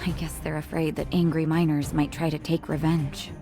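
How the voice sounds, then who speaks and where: A woman voice acting a composed, kind doctor in a video game, speaking in a calm, measured, polite way. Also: sits low, music in the background. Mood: calm, grave.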